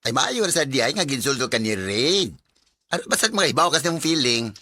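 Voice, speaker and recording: An actor with a Cebuano Filipino accent playing a blunt, chiding friend in a radio drama. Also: male voice, mid-range voice, sing-song, a little fast, lightly acted.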